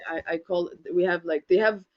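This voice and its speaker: A woman's voice: lowish voice, fairly even intonation, fairly quick, highs cut off. A woman with an Egyptian accent whose first language is Arabic, a podcast guest who laughs often in a bubbly casual chat. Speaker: guest, tech founder.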